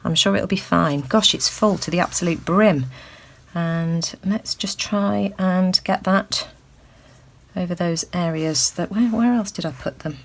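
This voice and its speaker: A woman hosting a craft tutorial, thinking aloud with lots of ums and a slightly croaky voice. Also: fairly low pitch, a little slow. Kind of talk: casual crafting demonstration. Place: indoors at a craft table.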